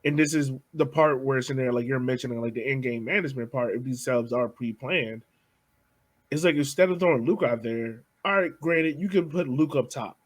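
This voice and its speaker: A man with a husky, mid-pitched voice talks soccer on a podcast. His talk is loose and casual, and he often says "like.". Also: fairly quick. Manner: casual, uses "like" a lot. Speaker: podcast co-host.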